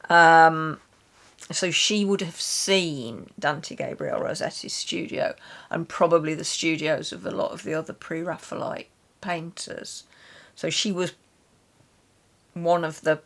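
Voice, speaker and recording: A woman's voice: low-pitched, unhurried, vocal fry, fairly bright tone. A woman reading poetry and chatting casually about books. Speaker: guest reader on a literature chat channel.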